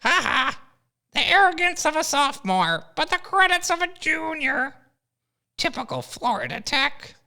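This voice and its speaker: A woman's voice: high voice, very animated, measured pace, sharp tone, exaggerated voice. A woman voicing a scheming, arrogant male eagle professor who is a villain, taunting and gloating in an amateur comedy audio drama.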